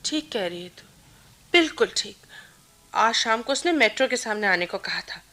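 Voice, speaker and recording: A young woman acting in a Hindi radio play as an excitable bride-to-be, chatting girlishly and dramatically with her best friend. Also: a little high, normal speed. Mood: coy, wistful. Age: young adult.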